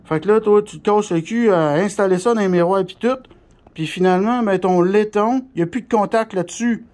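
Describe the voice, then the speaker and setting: High-pitched man with a Québécois accent. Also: fairly quick, darkish tone. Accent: Québécois French. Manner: blunt, colloquial, mildly crude. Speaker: CB radio shop specialist presenting a product tutorial. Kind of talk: casual unscripted hands-on demonstration.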